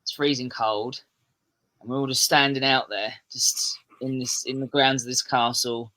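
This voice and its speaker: A man with a southeast English accent chats loosely as a podcast guest, trailing off and restarting his sentences. His voice sits at a middling pitch with a clear, bright tone. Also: little pitch movement, moderate pace. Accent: English, southeast England. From England. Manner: rambling, restarts sentences, self-deprecating humour. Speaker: podcast guest, metal musician. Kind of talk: casual podcast chat.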